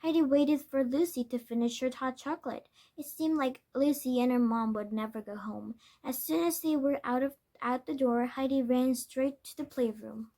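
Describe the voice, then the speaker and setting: A woman reading a children's storybook aloud as narration, with halting delivery and corrected stumbles. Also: high voice, dark tone. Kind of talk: scripted children's book narration.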